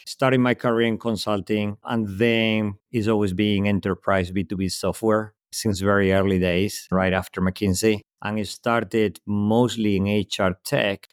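A man's voice: lowish voice, level tone, a little slow, hardly any pauses, clean tone, dry recording, clean studio sound. A man from Spain speaking English with a Spanish accent, a guest giving thoughtful, structured answers in a podcast interview. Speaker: guest executive.

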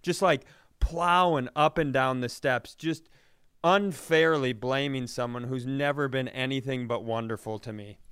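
A man's voice: medium pitch, unhurried, fairly bright tone, smooth, dry recording, studio quality. A man telling a personal story in a casual comedic style, self-deprecating and freely swearing.

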